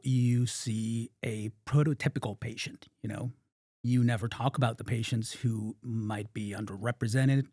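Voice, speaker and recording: A middle-aged man from Argentina, a company founder giving measured, thoughtful interview answers. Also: medium pitch, mellow, no room sound, clean studio sound. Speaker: startup founder and guest.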